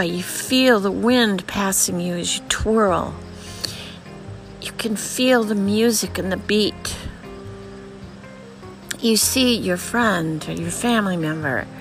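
Slow, soothing woman guiding a meditation. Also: fairly low pitch, poor audio. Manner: slow, soothing, often sinks to a whisper; repetitive, spiritual phrasing. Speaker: meditation guide. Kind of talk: guided visualization meditation.

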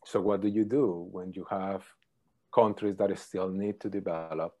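Man, middle-aged, very low voice, thoughtful professor guest. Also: steady tone, measured pace, darkish tone. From Colombia. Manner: thoughtful, hesitant with many ums. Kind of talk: interview answers.

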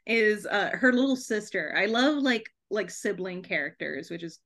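Young woman guest on a podcast, chatty n animated, says like a lot, mid pitch voice. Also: moderate pace. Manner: chatty, animated, frequent "like" and laughing asides. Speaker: podcast guest, debut author. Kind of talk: casual chat interview.

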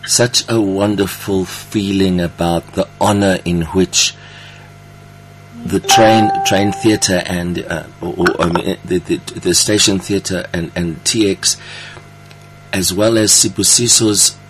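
Deep, slow-speaking man, effusive. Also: fairly even intonation, a little hiss or hum, poor audio. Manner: effusive, affirming, halting phrasing. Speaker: interview host. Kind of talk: conversational interviewing.

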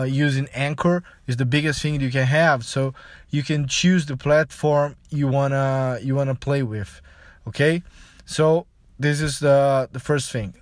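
A man's voice: mid-range voice, dry recording. Brazilian man, casual podcaster, dry sound. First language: Portuguese. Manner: halting, explanatory, frequent "you know". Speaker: podcast host. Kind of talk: casual solo podcast monologue.

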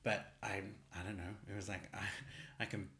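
A man with an Australian accent, co-hosting a casual chat, talking in an animated, camp way. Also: fairly low pitch, moderate pace. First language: English. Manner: animated, camp, laughing often. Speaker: podcast co-host. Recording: roomy echo.

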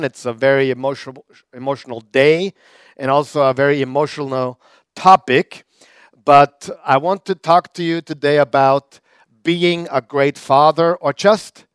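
Male pastor, crisp dry voice. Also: fairly high pitch, no echo. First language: German. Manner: conversational preaching with repetitions and fillers. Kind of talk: sermon.

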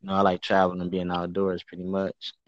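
A man's voice: deep voice, completely flat delivery, fast, dry recording, lo-fi sound. A man in his twenties from Ohio, chatting casually and rambling with lots of "you know" fillers. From Ohio, USA. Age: 20s. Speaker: conversation partner, amateur photographer. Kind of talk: casual chat.

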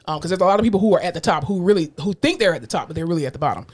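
Fast, lively woman cohost. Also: fairly low pitch, slightly rough. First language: English. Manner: blunt, animated, swears freely, jokes. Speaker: podcast co-host. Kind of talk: casual chat.

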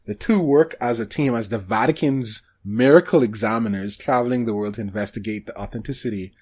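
A man hosting a podcast, chatting casually about shows in a halting, hesitant way. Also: mid-range voice, darkish tone, breathy edge. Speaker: podcast host.

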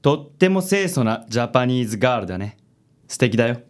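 ラジオドラマで、自信に満ちた紳士的な気取った色男を演じる男性。. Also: male voice, mid-range voice, very animated, fast talker, natural voice. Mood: gallant, flattering. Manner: smooth, affected gallantry. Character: suave, self-assured handsome gentleman charmer. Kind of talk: radio drama acting.

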